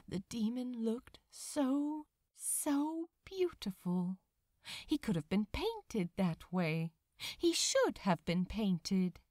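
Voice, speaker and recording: A woman reading fiction aloud as an audiobook narrator, with dramatic, deliberate phrasing. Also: on the high side, darkish tone, dry recording, studio quality. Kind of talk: expressive scripted audiobook narration.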